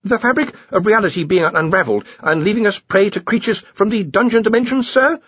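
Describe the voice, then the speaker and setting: A man's voice: high-pitched, fairly quick, fairly bright tone, husky, dry recording, muffled recording, pitched above the performer's own voice. A man voicing an anxious young wizard scholar, hesitant and politely deferential, in a storybook reading.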